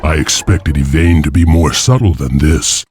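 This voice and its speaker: Grim defiant wolf warrior for a game, deep husky voice, grave, music behind. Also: male voice, moderate pace, darkish tone. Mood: grim resolve. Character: stoic, defiant male wolf-clan slave warrior, hairy and grim. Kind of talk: video game cutscene acting.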